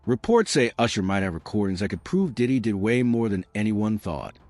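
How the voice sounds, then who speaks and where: A man's voice: lowish voice, expressive, brisk, a little mellow, dry recording. A man reading a scripted gossip documentary narration as a voice-over. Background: music.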